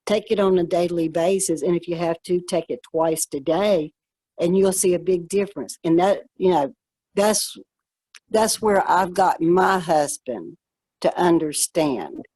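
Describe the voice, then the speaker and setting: A woman telling a personal story in a casual group chat, quick and chatty. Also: lowish voice, mellow, husky, dry recording. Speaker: guest in a wellness group chat.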